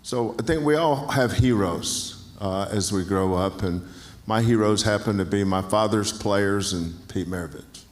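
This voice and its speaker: Older coach, low voice, slow and folksy, keeps restarting himself. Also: male voice. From North Carolina. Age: 60s. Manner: folksy storytelling, restarts phrases. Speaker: basketball coach. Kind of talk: onstage interview at an awards banquet.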